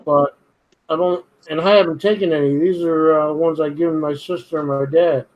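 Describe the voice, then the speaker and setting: A man's voice: a little high, fairly even intonation, moderate pace, dull audio. Blunt, swearing man with Brooklyn-ish accent. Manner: blunt, swears freely, grumbling complaints. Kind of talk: casual late-night chat.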